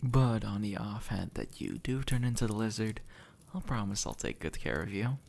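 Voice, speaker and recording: Man playing a low, gravelly, easygoing demon. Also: animated, moderate pace, a little mellow. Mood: reassuring. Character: easygoing two-century-old male demon, formerly human, reassuring and teasing. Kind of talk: intimate ASMR audio roleplay, addressed to the listener.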